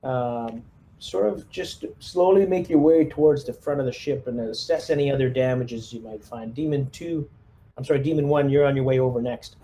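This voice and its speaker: A man with a very mellow, mid-pitched voice plays a commander at a gaming table. He speaks hesitantly and politely, giving casual orders over faint background noise. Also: normal speed. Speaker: tabletop player acting as squad commander. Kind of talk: casual in-game radio orders and table talk.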